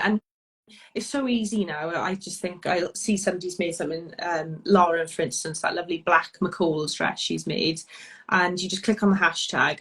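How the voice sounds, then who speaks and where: Welsh woman guest, easygoing and chatty, lots of ums and laughter, heard over a video call. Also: fairly low pitch. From Wales. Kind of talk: casual chat.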